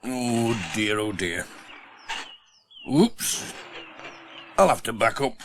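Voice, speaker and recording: A man's voice: medium pitch, expressive, very slow, a little hiss or hum. A man voicing a flustered, grumbling farmer in a children's storybook audiobook. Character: flustered male farmer on a tractor, grumbling, stuck.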